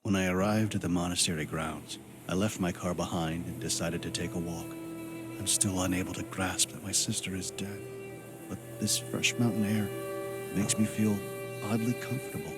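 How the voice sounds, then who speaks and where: Male actor playing grieving game hero, fairly deep voice, troubled, insistent, dramatic delivery, music underneath. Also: steady tone, moderate pace, natural voice. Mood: somber, reflective. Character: troubled adult man, grieving brother, agitated and insistent. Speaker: video game protagonist. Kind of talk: dramatic game voice acting with narration.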